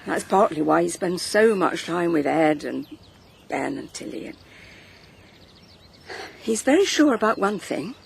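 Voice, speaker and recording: Older English matriarch, firm and persuasive, lively pitch, creaky edge, radio drama. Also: female voice, unhurried, long pauses, full character voice. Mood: calm, firm. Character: resolute, hurt older wife and family matriarch. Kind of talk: naturalistic radio drama acting.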